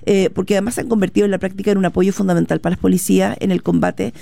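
A woman's voice: medium pitch, flat delivery, very fast, vocal fry, dark tone. A Chilean woman co-hosting a news talk show, explaining stories at length with frequent fillers. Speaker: news co-host. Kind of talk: radio news chat.

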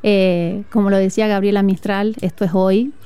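A woman from northern Argentina with an Argentine accent, a music teacher speaking earnestly and reflectively in an interview, with frequent "eh" pauses. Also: mid-range voice, fairly even intonation, warm tone, smooth, no echo. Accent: Argentine Spanish. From Tucumán, northern Argentina. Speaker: music teacher and flutist, guest. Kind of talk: conversational interview. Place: outdoor school courtyard.

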